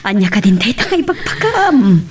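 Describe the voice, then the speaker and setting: A woman's voice: medium pitch, big pitch swings, normal speed, lightly acted. A woman with an Ilocano accent acting an anxious, scolding but loving mother in a melodrama. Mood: distressed. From Philippines. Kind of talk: melodramatic radio-style drama acting. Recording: noisy background ambience.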